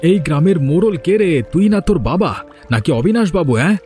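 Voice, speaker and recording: A man's voice: on the high side, lively intonation, brisk, darkish tone, soft background music, close to an everyday voice. A man playing a principled, defiant young village man in a cartoon drama, speaking with firm challenge. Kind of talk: Bengali cartoon drama dialogue.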